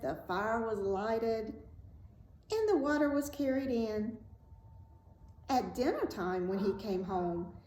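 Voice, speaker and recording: A woman reading a fairy tale aloud as a storyteller, in a measured, dramatic and expressive way. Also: fairly high pitch. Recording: roomy echo, faint background noise.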